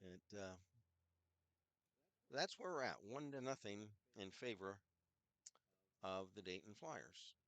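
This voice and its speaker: A man's voice: on the low side, animated, slow, pauses often, a bit bright, dry recording. Older man announcing hockey with a head cold. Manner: rambling, chatty, self-deprecating asides. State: head cold with scratchy throat. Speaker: play-by-play announcer. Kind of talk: casual hockey broadcast commentary.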